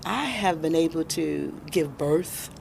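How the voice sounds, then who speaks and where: A middle-aged American woman answering interview questions as a guest, reflective and deliberate, pausing for emphasis. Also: low voice, animated, creaky, darkish tone, a little hiss or hum, poor audio. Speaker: interview guest, independent filmmaker.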